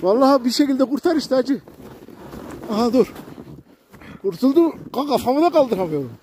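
A man with an extremely high voice presents a casual vlog while active outdoors. He is colloquial, jokey and prone to exclaiming. A faint noise of the open air sits behind his words. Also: animated, normal speed, warm tone. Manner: active, talking while snowboarding. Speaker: vlogger presenting a ski slope. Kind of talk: casual vlog commentary to viewers and companions. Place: outdoors on a steep ski slope.

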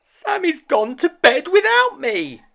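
Man voicing a high, plaintive little toy rabbit. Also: wildly swooping pitch, takes it slowly, creaky, pushed and bright, full character voice. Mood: forlorn. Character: small toy rabbit, trusting, anxious, devoted to his boy.

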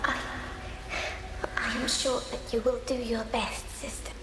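High, echoing young spirit sister played by a woman. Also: flat delivery, a little slow, heavy background noise, natural voice. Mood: gentle concern. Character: anxious, gentle young ghostly sister spirit. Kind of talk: video game cutscene acting. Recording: long echoing reverb, loud music behind.

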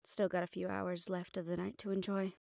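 A woman's voice: on the low side, flat delivery, fairly quick, creaky, no room sound, clean studio sound. A woman playing a composed, protective assistant to a mafia boss in an intimate audio roleplay, speaking firmly and reassuringly straight to the listener. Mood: reassuring, teasing. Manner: firm, reassuring, addressing the listener directly.

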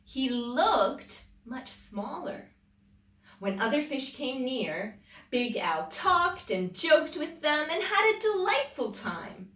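Lady doing kids story time, highish voice that swoops around a lot, really dramatic, echoey room. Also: sharp tone. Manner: dramatic, emphatic storytelling with drawn-out words. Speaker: children's storytime host, teacher. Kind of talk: expressive children's picture book read-aloud. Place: small indoor library room with tiled floor. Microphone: camera a few metres away. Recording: roomy echo, distant microphone.

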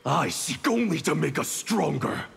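A man's voice: fairly high pitch, sing-song, unhurried, gravelly, a bit bright, slightly rough, full character voice. A man playing a cold, proud and domineering ninja grand master in a fighting game, commanding and menacing. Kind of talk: dramatic fighting game dialogue.

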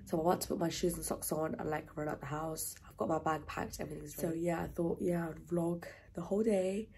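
A young woman talks to her viewers in a relaxed, rambling vlog style, leaning on "like" as she goes. Her voice sits fairly low, with a gravelly fry running through it. A faint hiss of background noise stays under her words. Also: quick pace. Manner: rambling and chatty, with frequent "like" fillers. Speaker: vlogger. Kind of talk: casual vlog chat.

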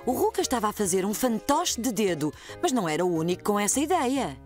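A woman with a European Portuguese accent narrating a children's cartoon in a calm, clear storytelling way. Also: medium pitch, fast, faint music behind.

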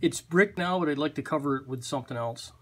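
A man's voice: fairly high pitch, fast talker. A man having a casual phone conversation, giving brief, matter-of-fact replies to a caller, recorded on a handheld phone. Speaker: call recipient playing along with a scam caller. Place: office, beside a desk phone. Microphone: handheld phone camera.

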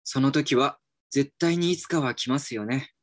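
A man's voice: medium pitch, moderate pace, dry recording, clean studio sound, close to an everyday voice. An actor playing a reflective, slightly dejected male employee in a voice drama, polite and musing. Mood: wry, polite. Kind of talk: voice drama acting with inner narration. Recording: music behind the voice.

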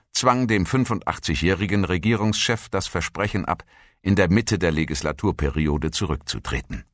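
A man reading scripted documentary narration in a measured, formal manner. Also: low-pitched, a bit bright, no echo, studio quality. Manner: measured, formal read delivery.

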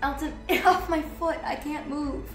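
A woman's voice: high-pitched, steady tone, measured pace, echoey room, loud music behind the voice. Nasal bubbly young woman vlogger. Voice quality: nasal from recent illness. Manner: bubbly, gushing, lots of "like". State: getting over a cold. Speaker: vlogger giving a house tour. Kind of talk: casual chatty house tour. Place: bright home interior, indoors.

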